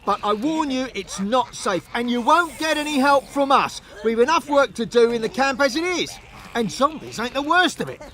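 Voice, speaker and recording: An actor voicing a tired, blunt guard at a refugee camp in a video game. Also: male voice, very high-pitched, lively intonation, moderate pace, a bit bright. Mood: gruff. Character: weary male refugee-camp guard, gruff. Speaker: game character.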